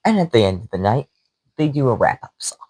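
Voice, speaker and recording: A young man co-hosting a podcast, telling an animated story in casual chat with frequent laughs. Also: mid-range voice, fairly quick, slightly rough, no room sound. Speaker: podcast co-host.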